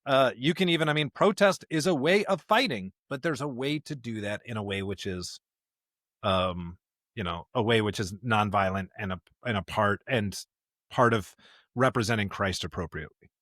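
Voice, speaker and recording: A man co-hosting a podcast in casual conversation, talking emphatically in digressive, halting phrases. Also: on the low side, a bit bright, no echo, clean studio sound. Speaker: podcast co-host.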